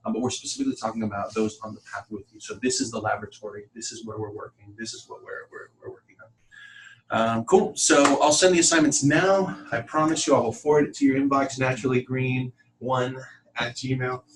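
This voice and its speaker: Male teacher, conversational and halting, small-group lesson. Distant mic, slight background noise. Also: mid-range voice, fairly even intonation. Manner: halting, conversational, addresses listeners as friends. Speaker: class teacher.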